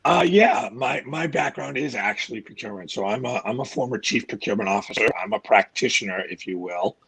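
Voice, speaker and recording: A middle-aged man with a hoarse, raspy voice, chatting as a guest over a video call from home, friendly and halting with many uhs. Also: mid-range voice, fairly bright tone. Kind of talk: casual interview chat. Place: home room. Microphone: video call webcam.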